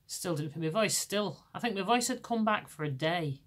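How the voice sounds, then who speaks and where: A middle-aged woman from England with a Lancashire accent, hosting a podcast in casual chat. Her naturally deep voice is still hoarse from laryngitis, and she rambles with many restarts. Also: lively intonation, moderate pace, slight background noise. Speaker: podcast host.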